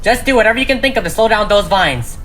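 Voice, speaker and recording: Cartoon heroine pony, played by a man: young, determined, earnest, raised pitch, forceful tone. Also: expressive, fast, soft background music.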